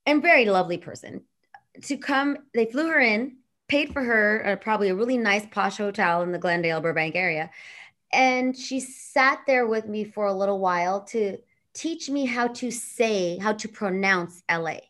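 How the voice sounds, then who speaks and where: A woman in her thirties with a Los Angeles accent, chatting as a podcast guest, bubbly and quick to laugh, rambling through asides. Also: a little high, lively intonation, fairly bright tone. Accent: Los Angeles regional. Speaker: radio and TV personality, guest. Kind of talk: casual podcast chat.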